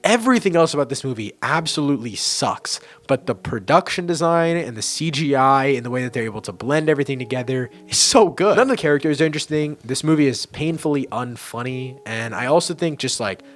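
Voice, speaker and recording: A man's voice: medium pitch, animated, brisk, hardly any pauses, faint music behind. Opinionated young man ranking movies. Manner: enthusiastic, opinionated, swears casually, frequent restarts. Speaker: movie reviewer. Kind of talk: casual ranking commentary to camera.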